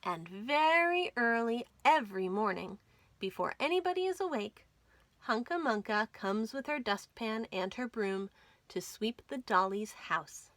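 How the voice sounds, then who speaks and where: A woman hosting a children's storytime, reading stories and nursery rhymes aloud in an expressive, clearly enunciated way for young listeners. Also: fairly high pitch, measured pace, dry recording. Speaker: children's storytime host at a library.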